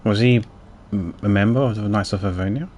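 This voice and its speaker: Lowish-voiced man casually commenting on a game. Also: a little fast, darkish tone, muffled recording, soft background music. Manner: musing aloud, informal. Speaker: game streamer.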